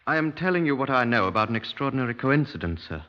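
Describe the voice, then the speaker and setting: A man's voice: mid-range voice, very animated, quick pace, close to an everyday voice. A British man playing an earnest, suspicious witness in a 1950s radio drama, polite but insistent. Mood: earnest, uneasy. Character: earnest, suspicious male witness visiting police. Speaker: actor in a radio crime drama. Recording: dull, muffled 1950s radio recording.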